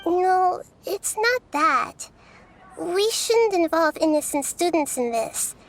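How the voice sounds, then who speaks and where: A woman voicing a gentle, wise, youthful goddess in an anime video game, speaking in a soft, girlish, measured and thoughtful way. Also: very high voice, big pitch swings, heavily acted. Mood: earnest, cautious. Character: wise, gentle young-looking female god of wisdom, earnest and thoughtful. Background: background music.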